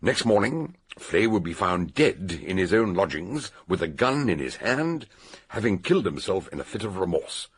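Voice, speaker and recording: An actor playing an eccentric older British detective in a radio drama, theatrically and deliberately laying out a murder solution in a gravelly, husky voice. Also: male voice, sits low, big pitch swings, exaggerated voice. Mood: assured, expository.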